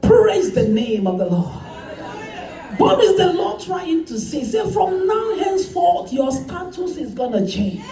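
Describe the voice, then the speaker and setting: A woman's voice: very high-pitched, sing-song, a little slow, mellow, faint music behind, some chatter behind. A woman pastor preaching a sermon, calling on the congregation to repeat after her and driving points home with repetition. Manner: preaching with call-and-response, emphatic repetition. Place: church hall. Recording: echoey church hall, voice from far off.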